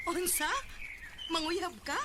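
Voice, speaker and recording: A woman's voice: very high voice, full character voice. An actress with a Cebuano Filipino accent playing a playful, teasing young woman in a radio drama. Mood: amused disbelief.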